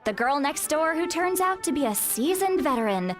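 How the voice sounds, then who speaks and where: A woman's voice: sits high, big pitch swings, normal speed, soft background music. An actress playing a haughty, teasing, young-looking elder woman in a video game, with theatrical, sardonic phrasing.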